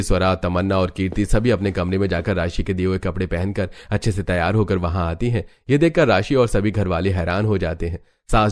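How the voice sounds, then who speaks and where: Low-pitched male cartoon story narrator. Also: darkish tone.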